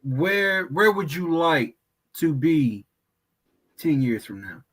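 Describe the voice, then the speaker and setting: A man's voice: on the high side, big pitch swings, slow pace, fairly bright tone. A man in his thirties hosting a casual interview, asking questions in a candid, earnest way. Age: 30s.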